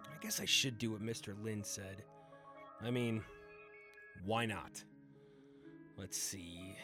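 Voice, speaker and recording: Man acting a flustered, excitable everyman, music behind. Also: fairly low pitch. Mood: resigned, muttering. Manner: flustered, stammering, exclamatory. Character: anxious everyman suddenly mistaken for a crime kingpin. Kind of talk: amateur audio drama acting.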